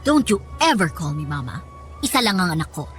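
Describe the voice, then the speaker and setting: A haughty middle-aged mother in a radio drama, two-faced and condescending. Her pitch swings widely and theatrically, and music plays under her voice. She slips English into her talk. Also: very animated, brisk, a bit bright. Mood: scornful, menacing.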